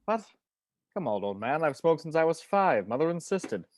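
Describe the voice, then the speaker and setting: A man's voice: on the high side, big pitch swings, normal speed, dry recording. A man playing a passionate, melodramatic cigar-smoking patriarch in a comedy script table read. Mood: fond, nostalgic. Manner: theatrical, dramatic.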